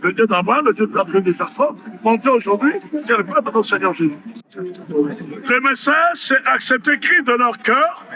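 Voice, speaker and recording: A man's voice: very high voice, animated, moderate pace, a crowd in the background, rough recording. A man preaching as a pastor, emphatic and repeating his phrases. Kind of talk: preaching address.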